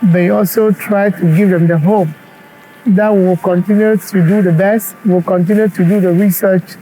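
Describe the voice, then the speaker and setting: A man in his forties from Cameroon, a doctor and cancer researcher, speaking earnestly and reflectively in a documentary interview, pausing as he thinks. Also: high-pitched, warm tone, a little hiss or hum, rough recording. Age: 40s. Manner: earnest and reflective, with thoughtful pauses.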